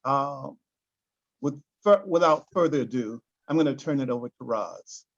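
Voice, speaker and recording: A man giving hesitant, halting opening announcements as an event coordinator over a lo-fi video call. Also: medium pitch, expressive, gravelly. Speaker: workshop coordinator introducing the event. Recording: dull lo-fi video call audio.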